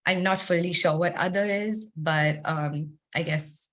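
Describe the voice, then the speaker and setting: A woman presenting survey results in a webinar while losing her voice, talking conversationally with frequent ums and throat clearing. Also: lowish voice, unhurried, fairly bright tone. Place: online webinar.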